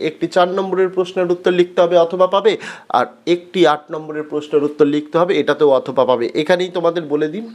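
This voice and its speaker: Fast-talking male exam-prep teacher. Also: high voice, darkish tone. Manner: repeats points, asks and answers his own questions. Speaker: teacher and channel host. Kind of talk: explaining an exam pattern to students.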